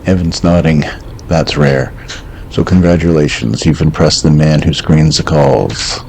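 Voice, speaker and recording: A man playing a deep, gravelly late-night radio host. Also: a little mellow, a little hiss or hum, exaggerated voice. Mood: dryly wry. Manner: measured, reflective, understated. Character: calm, wry male host of an eerie late-night call-in show.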